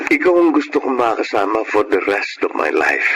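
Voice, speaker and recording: An actor playing an eager, romantic, easily flustered young boyfriend in a radio drama, expressive and earnest. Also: male voice, fairly low pitch, normal speed. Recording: noisy ambience behind radio drama.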